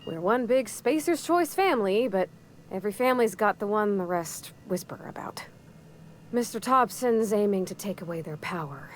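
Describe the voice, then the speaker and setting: A woman's voice: medium pitch, animated, moderate pace, slight background noise, natural voice. Actress as a shy, folksy young woman mechanic. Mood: thoughtful, earnest. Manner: hesitant, folksy, polite, rambling. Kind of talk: video game dialogue.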